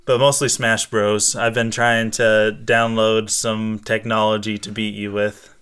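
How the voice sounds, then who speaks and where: Slow, bright-voiced man chatting. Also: fairly low pitch, flat delivery, clean tone. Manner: playful, improvised sock-puppet banter; halting, thinking aloud. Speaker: co-host of a Nintendo fan show; fifth and sixth grade teacher. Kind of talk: casual chat.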